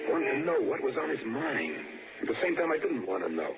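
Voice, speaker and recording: An actor playing an edgy, guarded drifter who narrates a suspense tale in 1960s radio style. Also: male voice, medium pitch, very animated, brisk, very noisy. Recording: dull old radio recording, music behind.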